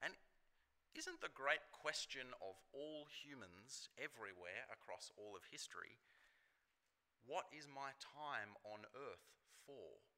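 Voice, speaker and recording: A man gives a sermon with a very bright, ringing voice. He talks to the congregation in a chatty, relaxed way, with casual fillers, at a middle pitch. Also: slow pace. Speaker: preacher.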